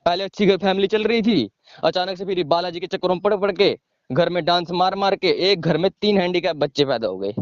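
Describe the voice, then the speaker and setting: High-pitched man explaining emphatically. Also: brisk, dry recording. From village in India. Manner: emphatic, repetitive, checks listener with short tag questions. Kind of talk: informal explanatory phone talk. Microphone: phone call. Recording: dull lo-fi phone call recording.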